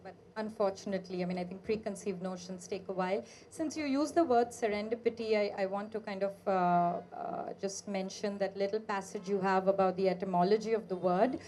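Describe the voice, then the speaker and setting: A woman's voice: mid-range voice, a little fast, hardly any pauses, dark tone. A woman hosting a book interview, chatty and easygoing, with frequent fillers as she sets up questions. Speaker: interviewer, host.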